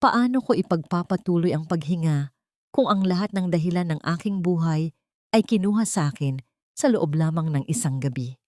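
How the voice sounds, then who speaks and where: A woman's voice: low voice, a little mellow, no room sound, studio quality, lightly acted. A woman voicing a cast-out wife, murmuring in bitter despair in an audiobook drama.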